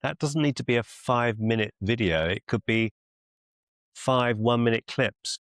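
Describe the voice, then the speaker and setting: A man coaching one-to-one on a video call as a mentor, deliberate and encouraging, often repeating phrases for emphasis. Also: medium pitch, a bit bright, no room sound, clean studio sound. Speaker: business mentor and clinician.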